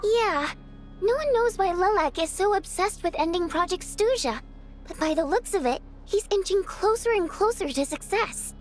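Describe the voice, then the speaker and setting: Very high-voiced earnest young heroine. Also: female voice, lively intonation. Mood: serious, analytical. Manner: measured, explanatory. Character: bright, youthful female companion with an earnest, thoughtful temper. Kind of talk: scripted game story dialogue.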